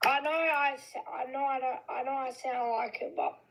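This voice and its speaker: Croaky young woman caller, high and flat, eager. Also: moderate pace, low-quality recording. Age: young adult. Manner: eager, pleading to take part. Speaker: caller joining a livestream.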